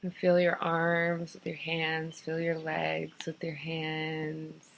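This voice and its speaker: A woman leading a guided meditation in an unhurried, soothing manner, giving gentle improvised instructions in short phrases with pauses. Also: sits low, monotone, creaky, slight background noise, low-quality recording. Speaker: guided meditation teacher and host.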